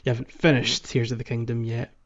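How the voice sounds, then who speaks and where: A man with a medium-pitched voice hosts a casual discussion over a slightly dull, lo-fi track. He speaks haltingly, repeating words while he works out his thought. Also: flat delivery. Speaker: podcast host.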